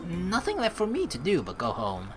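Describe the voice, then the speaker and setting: A young woman hosts a playthrough, reading story text aloud and chatting casually. Her voice is slightly low and swings dramatically in pitch, with a playful, expressive delivery. Faint game music sits behind her. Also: normal speed. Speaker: let's play host.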